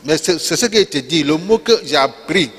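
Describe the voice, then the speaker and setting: A male pastor preaching a sermon in French, which is not his first language, with call-and-response lines, repeated key words and rising shouts. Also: a little high, animated, normal speed. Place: church. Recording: long reverb of a church hall.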